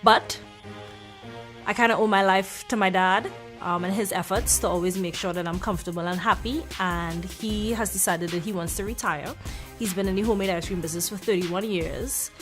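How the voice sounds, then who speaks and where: A woman's voice: medium pitch, normal speed, a bit bright. A woman speaking frankly and with bold self-assurance in a reality show confessional interview. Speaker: reality show cast member. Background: music.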